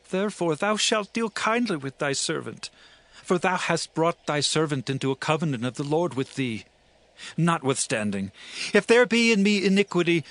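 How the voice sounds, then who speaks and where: A man's voice: high voice, a bit bright. A man playing an anxious young fugitive in a dramatized Bible reading, pleading earnestly and emphatically with his friend in archaic English.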